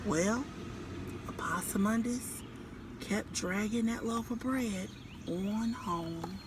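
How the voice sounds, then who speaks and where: A woman's voice: medium pitch, takes it slowly. A woman reading a children's story aloud outdoors, theatrical and speaking directly to young listeners. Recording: clear background noise, outdoors.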